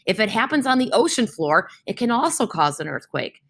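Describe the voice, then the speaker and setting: A woman's voice: mid-range voice, very animated, brisk, a bit bright. A young woman teacher reading a science lesson aloud for her class, explaining with homely comparisons and often checking in with "right?".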